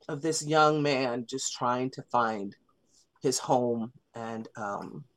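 Chatty woman, radio interview guest. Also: sits low. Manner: chatty, with many fillers and restarts. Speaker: theater artist and guest. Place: radio studio.

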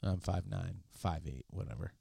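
A man's voice: deep voice, normal speed, gravelly, dark tone, breathy edge, no room sound. Laid-back man trading quips, dry sound. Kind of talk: casual comedic chat.

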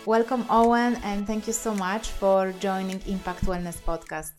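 A woman's voice: mid-range voice, flat delivery, normal speed, soft background music. A woman from Poland with a Polish accent, hosting a podcast interview, effusive and full of uh and um fillers. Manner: warm, effusive, many fillers. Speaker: podcast host.

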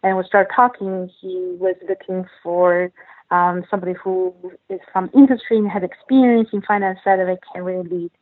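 A woman's voice: fairly low pitch, fairly even intonation, moderate pace, no echo. A woman from northeast China, an English speaker, answering interview questions in a hesitant way with many fillers and restarts. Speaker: guest, company finance chief. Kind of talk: podcast interview, casual chat. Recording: dry tinny phone-like line.

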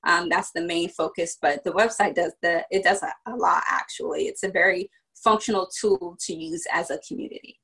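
A woman's voice: lowish voice, fairly even intonation, moderate pace, creaky, sharp tone, husky. A woman from Virginia, a business founder chatting as a podcast guest and explaining things step by step with plenty of fillers. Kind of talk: casual podcast interview.

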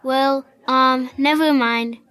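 A kind, principled schoolgirl played by a female performer in an amateur radio play. Her voice is high and she reads her lines very slowly and deliberately. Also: steady tone, sharp tone, exaggerated voice. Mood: concerned. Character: kind schoolgirl who stands up to bullies.

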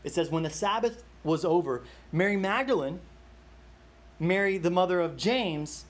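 A man's voice: high-pitched, lively intonation, moderate pace. A young man leading a Bible study, telling a personal story in a casual, chatty way with many fillers and restarts.

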